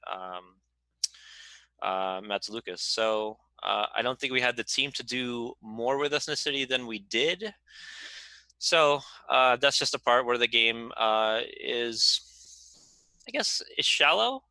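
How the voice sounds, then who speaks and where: Sharp, bright-voiced man, closely recorded. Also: medium pitch, no echo, low-quality recording. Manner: hesitant, reflective, self-deprecating. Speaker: game writer and developer. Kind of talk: candid conversational panel answer.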